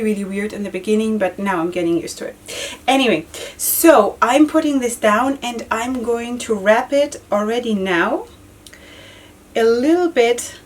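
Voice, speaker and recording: Chatty woman demonstrating head wraps, mic a little distant. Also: a little high. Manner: chatty, repeats words, frequent fillers. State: losing her voice, occasional cough. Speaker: head-wrap tutorial host. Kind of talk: casual step-by-step tutorial. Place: indoor studio with floral backdrop.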